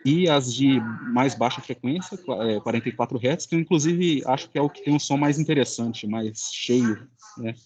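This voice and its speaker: Husky young Brazilian man, halting explainer. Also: mid-range voice, a little mellow, dull audio. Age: young adult. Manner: halting, searching for words. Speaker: student presenting final project. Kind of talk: informal explanatory presentation.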